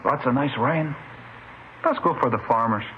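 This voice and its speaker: An actor playing a chatty, kindly cantina bartender in a 1950s radio drama, talking in simple, rambling phrases. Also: male voice, medium pitch, moderate pace, lightly acted. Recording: muffled, noisy vintage radio recording.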